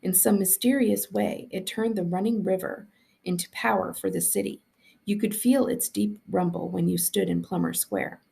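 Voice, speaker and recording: Female school librarian, storybook narration, mid-pitched voice with heavy vocal fry. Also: steady tone, moderate pace, warm tone. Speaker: school librarian reading aloud.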